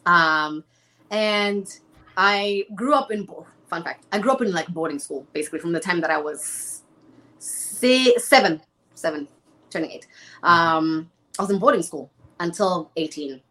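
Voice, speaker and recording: A young woman from Zimbabwe, a guest in an interview, talking in a lively, chatty way with many restarts. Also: fairly low pitch, measured pace, low-quality recording. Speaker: interview guest, former reality show contestant. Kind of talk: casual chat.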